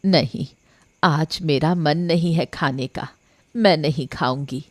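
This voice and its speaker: A woman voicing a practical village wife and homemaker in an animated moral story. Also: sits low, slow, no echo. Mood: calm, caring.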